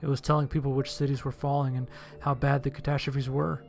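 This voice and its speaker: A man's voice: medium pitch, level tone, brisk, gravelly, darkish tone. Man narrating a horror story over music. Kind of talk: dramatic scripted narration in first person. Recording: music under the voice.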